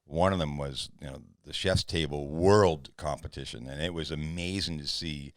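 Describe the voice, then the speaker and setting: A man's voice: rumbling low, fairly even intonation, moderate pace, no echo, clean studio sound. A man hosting a podcast, chatting and asking his guest questions. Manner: conversational, asks questions. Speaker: podcast host. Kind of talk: casual interview chat.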